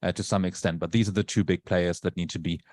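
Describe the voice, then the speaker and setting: A man's voice: deep voice, fairly even intonation, fast, darkish tone, no echo. A male university lecturer who is not a native English speaker, giving a conversational lecture and often checking in with tag questions. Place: lecture room.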